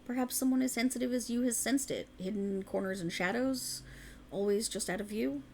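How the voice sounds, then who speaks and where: Woman doing an otherworldly fae lady, grand but gentle, talks slow and close, little bit of hiss behind. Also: a little high, close to an everyday voice. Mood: mysterious. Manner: slow, grand and intimate, addressing the listener closely. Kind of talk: roleplay audio drama.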